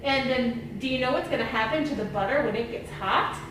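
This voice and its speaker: A woman voicing a curious, excitable old scientist puppet, musing aloud and repeating words in a children's puppet show. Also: fairly high pitch, a little fast, close to an everyday voice. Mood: excited explaining. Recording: echoey room, distant mic, noisy.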